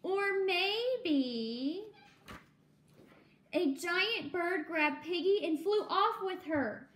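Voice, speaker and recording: A woman's voice: very high voice, lively intonation, drawn-out words, fairly bright tone, exaggerated voice. A woman voicing an anxious, loyal male elephant from a children's storybook, earnest and dramatic. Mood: worried. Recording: echoey home room.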